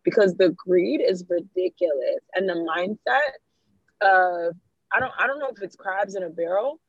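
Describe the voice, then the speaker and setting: A woman's voice: on the low side, normal speed, slightly rough, no echo. A young woman from Ghana, talking in a casual discussion as a guest, telling stories and acting out the people she quotes. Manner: animated storyteller, quotes people in dialogue. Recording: muffled, slightly lo-fi.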